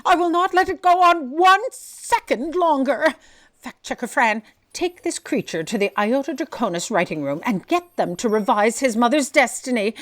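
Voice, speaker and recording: Lady doing eccentric grand boss editor, high voice, very animated, theatrical audio drama. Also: normal speed. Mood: outraged resolve. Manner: imperious, theatrical. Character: grandiose, impulsive, eccentric female editor in chief. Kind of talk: comic audio drama acting.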